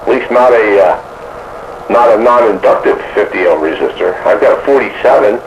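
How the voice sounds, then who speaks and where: A man's voice: on the low side, lively intonation, normal speed. A man chatting casually about technical matters as a radio operator, rambling along in a folksy way over a two-way radio link. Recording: dull noisy radio transmission, boxy echo.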